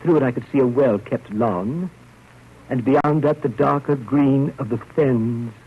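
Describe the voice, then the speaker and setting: An actor playing a fussy, nervous, proper gentleman in 1930s radio drama style, with a prim, halting delivery and habitual throat-clearing. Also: mid-range voice. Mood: polite, uneasy. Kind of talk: 1930s radio drama acting, first-person narration. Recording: muffled, noisy old radio broadcast.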